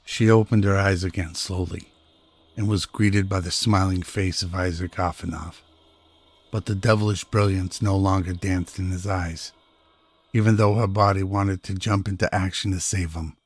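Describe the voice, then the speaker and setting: A man's voice: low voice, steady tone, moderate pace, slightly rough. A man reading scripted narration for a radio-style drama. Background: music.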